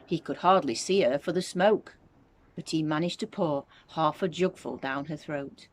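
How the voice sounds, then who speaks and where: A woman reading a children's story aloud as a teacher would, with expressive narration. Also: low voice, moderate pace.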